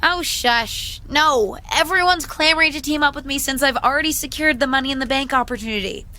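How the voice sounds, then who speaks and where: A woman's voice: high voice, brisk, pushed and bright. An actress playing a feisty, stubborn young woman wrestler in video game dialogue, indignant and sarcastic. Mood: teasing, exasperated.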